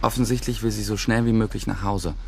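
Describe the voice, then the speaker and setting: A man's voice: lowish voice, fairly even intonation, quick pace, vocal fry. An actor playing a cocky, computer-savvy teenage schoolboy who narrates his day in a radio drama. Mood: wry.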